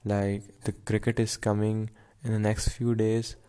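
A nineteen-year-old man, rambling casually at home in a halting way, full of fillers and restarts. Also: lowish voice, flat delivery, dark tone, smooth. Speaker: vlogger.

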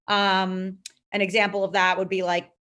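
Woman, Lithuanian first language; founder answering interview questions, unhurried and rambling, lots of filler words. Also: medium pitch, little pitch movement, fairly bright tone. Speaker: company founder and CEO.

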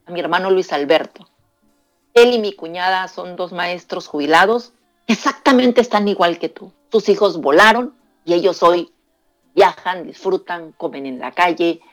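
A woman hosting a radio talk show, reading listener messages aloud in a playful, chatty way. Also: medium pitch. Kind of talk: casual radio chat.

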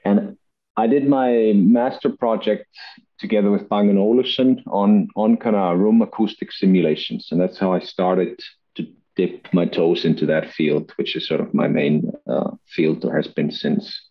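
A man from Iceland telling his story on a podcast in a chatty, relaxed way, with frequent "uh" fillers and restarts. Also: sits low, normal speed, husky, muffled recording. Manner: chatty, laughs often, many "uh" fillers and restarts. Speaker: guest, acoustics company CEO. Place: Reykjavik, home or office.

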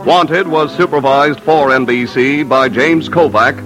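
A man's voice: medium pitch, measured pace. A man announcing formally in an old-time radio style, reading scripted continuity. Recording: old radio recording, loud music behind.

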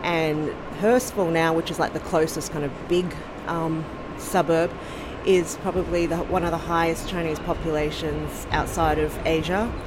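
An Australian woman from Sydney, speaking in a casual, thoughtful interview and pausing often with ums and restarts. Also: low-pitched, steady tone, background noise. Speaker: podcast host being interviewed.

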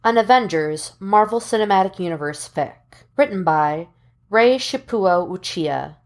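A woman narrating fan fiction as an audiobook reader, in measured, deliberate phrases with dramatic pauses. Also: mid-range voice, lively intonation.

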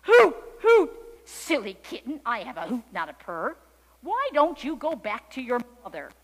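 Woman as a hooting, gently scolding owl. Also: fairly high pitch, big pitch swings, long reverb tail. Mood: knowing. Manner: loud hoots, unhurried advice.

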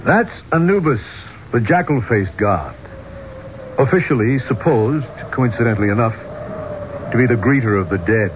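A plain-spoken, hot-headed man of action in archaeology played by a male actor, casual and affable. He speaks at a medium pitch on a muffled 1970s radio drama recording with clear background hiss. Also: lively intonation, moderate pace, close to an everyday voice. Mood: explaining.

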